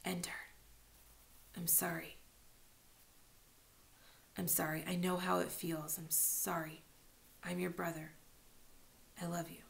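A woman's voice: mid-range voice, a little slow, a bit bright. A woman voicing a cruel, taunting older boy in an audiobook, who drops to a whisper at times. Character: menacing, mocking older boy, a cruel bully.

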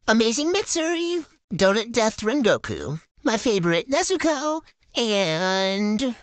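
A teenage girl chatting casually while unboxing a haul, gushing and excitable with lots of fillers. Her voice runs through a voice changer effect, recorded on a handheld phone in her bedroom. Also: a little high, no echo. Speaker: vlogger showing a haul.

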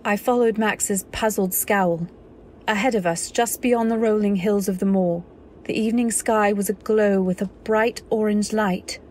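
An actress playing a wistful, refined young wife narrating her memories in an old-time radio drama, measured and literary. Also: medium pitch, fairly even intonation, a little mellow, a little hiss or hum, lightly acted. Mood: tense narration.

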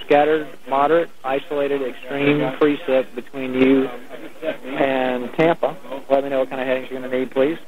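A man's voice: medium pitch, flat delivery, moderate pace, a crowd in the background. A man working as an air traffic controller, giving clipped procedural instructions over the radio. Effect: radio transmission. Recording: narrow-band, noisy air traffic radio.

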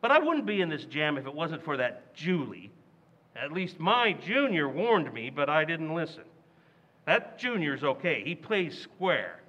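A man's voice: a little high, measured pace, dull audio, full character voice. An actor playing a blustering, scheming working-class father in a recreated old-time radio comedy. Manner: blustery, excitable.

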